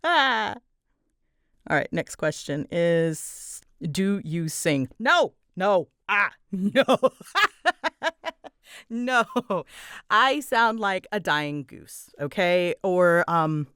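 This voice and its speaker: A woman's voice: on the low side, expressive, very slow, a bit bright, clear, resonant tone, no echo, clean studio sound. A woman chatting casually and unscripted as she answers listener questions, nervous and giggly, often interrupting herself and bouncing between thoughts. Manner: scattered, rambling, jumps between thoughts. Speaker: audio creator and voice actor answering listener questions.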